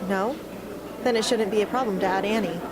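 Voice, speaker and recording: A woman's voice: medium pitch, a little fast, a loud crowd, close to an everyday voice, poor audio. A woman playing a defiant, guarded young rebel hunter in an amateur radio drama, speaking in a blunt, challenging way. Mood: insistent. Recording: faint hum and background noise.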